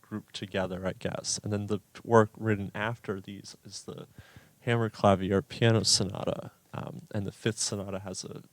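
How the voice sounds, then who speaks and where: Young man, from New York City, low voice, monotone, thoughtful, hesitant, dry studio sound. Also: normal speed, no echo. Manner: thoughtful, musing, hesitant with ums. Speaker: pianist, music student. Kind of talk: radio interview. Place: radio performance studio.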